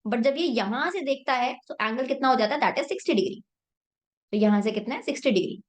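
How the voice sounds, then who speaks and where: A woman's voice: high-pitched, animated, normal speed. A young woman math teacher with a sore throat, explaining a problem step by step in a mix of Hindi and English and answering her own questions.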